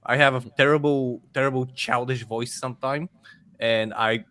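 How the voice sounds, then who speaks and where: A man's voice: mid-range voice, steady tone, moderate pace, sharp tone. A man from the Balkans with a Balkan accent, a guest on a casual podcast panel who chats and laughs at himself.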